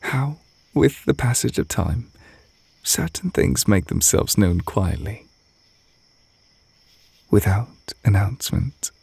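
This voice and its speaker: Guy playing a gentle witch boyfriend for asmr, deep breathy voice with some fry, really soft and intimate. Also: animated, slow pace, pauses often, no room sound, exaggerated voice. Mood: wistful, tender. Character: tender, reserved male witch teacher confessing love to partner. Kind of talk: ASMR romantic audio roleplay. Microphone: close microphone.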